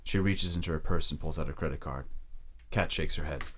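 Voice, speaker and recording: A man's voice: a bass voice, fairly quick, a bit bright. A man narrating a scripted audio drama as its storyteller.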